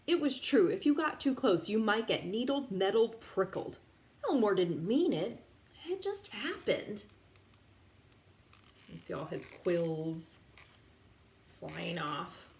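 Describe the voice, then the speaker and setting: A woman reading a picture book aloud to young children in an expressive, teacherly way, indoors. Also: medium pitch, takes it slowly, long pauses. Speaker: children's story reader, teacher. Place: indoor room. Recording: roomy echo, clear background noise.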